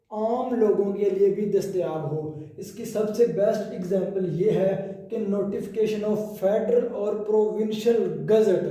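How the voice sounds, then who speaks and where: A man with a Pakistani accent giving an explanatory law lecture, deliberate, reading out clauses and restating key phrases in other words. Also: high-pitched, mellow. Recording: very echoey, reverberant room sound.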